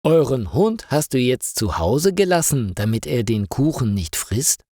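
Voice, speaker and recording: A man's voice: mid-range voice, big pitch swings, a little slow, dark tone, no echo, clean studio sound. A man narrating a story, voicing a polite, plain-spoken male bakery worker. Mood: friendly.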